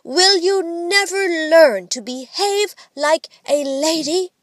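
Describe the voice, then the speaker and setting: A woman's voice: very high voice, takes it slowly, no room sound, studio quality, voice pushed up. A woman voicing a bossy little girl who plays the strict mother, prim and grave in her emphatic lecturing. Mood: grave, scolding.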